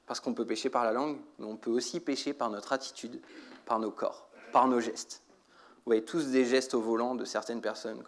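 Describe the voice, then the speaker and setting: Male church preacher, mid-pitched voice, exhorting delivery with repetition for emphasis. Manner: exhorting, rhetorical questions to the congregation. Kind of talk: sermon.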